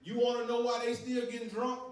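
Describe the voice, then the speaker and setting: Preacher in an echoey sanctuary. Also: male voice, very high-pitched, completely flat delivery, fairly quick, recorded from a distance. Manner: call-and-response preaching, storytelling, folksy. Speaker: guest preacher. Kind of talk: sermon with sung opening. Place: large church sanctuary. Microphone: stand mic at pulpit, far camera. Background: organ music.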